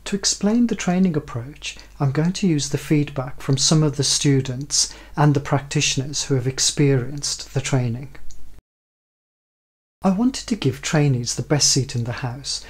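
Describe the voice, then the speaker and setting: A man's voice: fairly high pitch, a little slow, breathy edge. A man, presenting an explanatory video as a researcher indoors.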